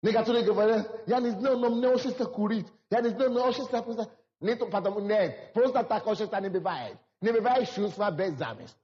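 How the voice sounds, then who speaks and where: High-voiced preacher in a reverberant hall. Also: male voice, fairly quick. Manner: preaching with rising emphasis and repetition. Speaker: pastor. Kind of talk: sermon. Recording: dull lo-fi hall recording.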